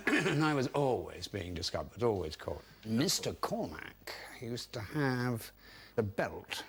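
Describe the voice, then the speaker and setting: A man's voice: medium pitch, very animated, slow, fairly bright tone, a little hiss or hum. An older man, reminiscing in an interview.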